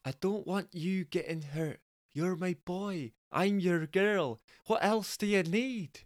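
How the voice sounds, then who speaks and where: An exasperated, hungover Scottish girlfriend, acted by a man, pitched well above his own voice. She whines and scolds in a Scottish accent, as a comic story character. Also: takes it slowly, dry recording, studio quality. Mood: weary, pleading. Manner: whining, scolding.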